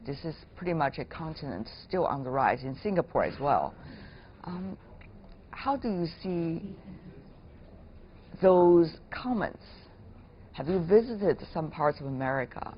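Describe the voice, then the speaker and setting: Low-voiced woman interviewer, dull noisy recording. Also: sing-song, a little slow, long pauses. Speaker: interviewer, host. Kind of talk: broadcast interview, conversational questioning. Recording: dull lo-fi sound with clear noise.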